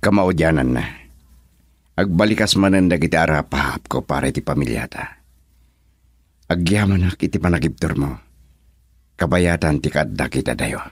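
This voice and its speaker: An actor playing an earnest, devoted grown son and husband in a radio drama, solemn and measured. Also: male voice, a bass voice, expressive, husky, dry recording, clean studio sound, full character voice. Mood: heartfelt. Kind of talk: Ilocano radio drama acting.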